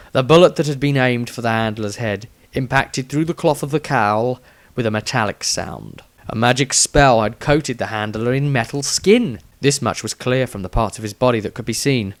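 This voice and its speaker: A man's voice: mid-range voice, dry recording. A man reading a scripted fantasy adventure aloud as a storyteller, with dramatic emphasis. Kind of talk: scripted audiobook narration.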